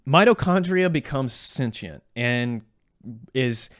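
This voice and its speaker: A man's voice: mid-range voice, lively intonation, takes it slowly, a bit bright, no room sound, clean studio sound. A man co-hosting a podcast, chatting casually about video games in a joking, self-deprecating way. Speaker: podcast co-host.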